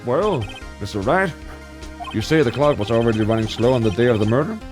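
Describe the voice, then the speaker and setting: A man voicing an elderly male courtroom judge in a comic game reading, speaking ponderously and haltingly. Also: mid-range voice, animated, music in the background, pitched below the performer's own voice, close to an everyday voice. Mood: solemn. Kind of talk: comic amateur video game dramatic reading.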